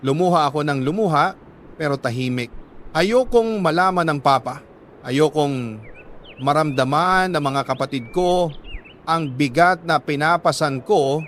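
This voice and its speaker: A man with a Filipino accent reads a letter as a dramatic first-person story, in measured, emotive short phrases. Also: fairly high pitch, a bit bright. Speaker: radio drama storyteller reading a listener's letter.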